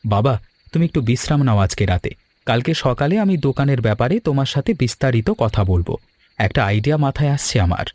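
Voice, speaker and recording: A man playing an earnest, secretive young son with fond memories and plans, in an animated story. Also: mid-range voice, fast, no echo, natural voice. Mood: reassuring.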